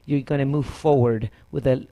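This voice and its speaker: A woman's voice: a bass voice, fairly even intonation, normal speed, dark tone. A woman from Costa Rica who trains life coaches, a guest on a talk show, speaking in a confident, upbeat way. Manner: confident, upbeat, laughs easily. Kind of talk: talk show guest explaining her work.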